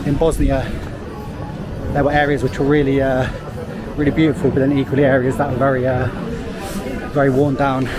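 A man's voice: mid-range voice, little pitch movement, brisk, pauses often, crowd noise. A man, chatting casually to a handheld camera as he walks around a city, rambling with lots of fillers. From England. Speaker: travel vlogger. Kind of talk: casual walking vlog. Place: outdoors on busy city streets. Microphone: handheld camera at arm's length. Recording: noisy outdoor street recording. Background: street ambience and traffic.

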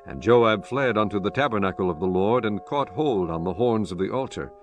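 Low-voiced solemn male scripture narrator. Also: lively intonation, fairly quick, highs cut off. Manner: solemn, formal storytelling. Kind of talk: scripted dramatized Bible narration. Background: soft background music.

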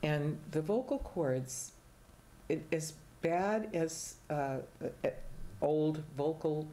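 A woman's voice: sits low, expressive, takes it slowly, mellow. An older woman, a singing teacher, explaining at length in a casual chat in a small TV studio, with rambling restarts.

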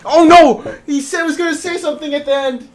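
A man's voice: very high voice, fairly even intonation, fast. A man chatting casually and teasingly in slang as he plays an online team game. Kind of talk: casual gaming banter.

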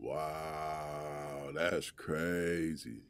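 An actor playing a laid-back, protective young man in an audio drama, talking in slang with drawn-out, incredulous repeats. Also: very deep voice, flat delivery, no room sound, full character voice.

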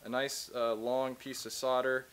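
A man's voice: medium pitch, flat delivery, a little slow, a bit bright, slight background noise. A man in his thirties giving a casual hands-on how-to demonstration in a large indoor workshop, explaining plainly as he works, recorded on a close camera mic. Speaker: tradesman instructor.

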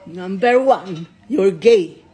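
A woman's voice: mid-range voice, lively intonation, slow pace, dark tone, soft background music. A woman giving an emphatic, mock-indignant comic retort, point by point. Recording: clear background noise with clicks.

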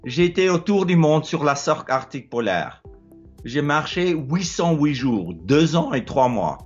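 Middle-aged man, Afrikaans accent, unhurried and slightly raised pitch, hesitant non-native phrasing; lo-fi phone recording. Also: sing-song, husky, faint music behind. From South Africa. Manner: hesitant, repeats words, searching for words. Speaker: explorer sharing advice. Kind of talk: casual advice monologue. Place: wood-ceilinged room indoors. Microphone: phone held close, low angle.

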